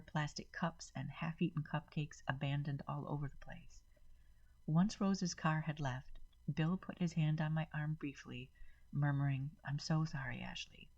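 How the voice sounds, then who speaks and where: A woman's voice: deep voice, darkish tone, muffled recording. A woman storyteller reading a dramatic first-person story as a channel narrator.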